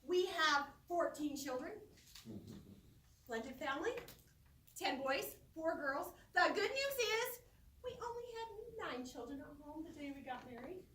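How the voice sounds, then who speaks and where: A middle-aged woman giving a humorous storytelling speech to a live audience, expressive and theatrical, with dramatic pauses and drawn-out words. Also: high voice. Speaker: speech contest competitor. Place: roomy indoor space before an audience. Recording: echoey room, distant microphone, faint noise.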